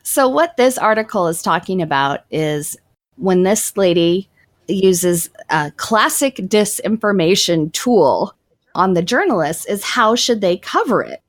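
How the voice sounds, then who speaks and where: A woman's voice: medium pitch, animated, a little slow, no echo. A woman co-hosting a casual podcast, reading article passages aloud and joking about her own pronunciation. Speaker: podcast co-host.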